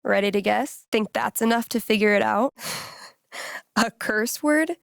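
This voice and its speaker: A woman's voice: mid-range voice, a little slow, creaky, dry recording, clean studio sound. An actress playing a laid-back, geeky young American woman in a video game, speaking in a casual, wry, playful way. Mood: playful, teasing. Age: young adult. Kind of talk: naturalistic video game character dialogue.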